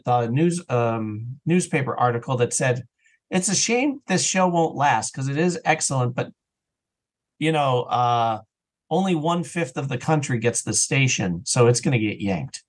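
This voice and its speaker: A man speaking as an interview guest on a podcast in a casual, opinionated, emphatic conversational way. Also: medium pitch. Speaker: interview guest, audio drama writer and actor.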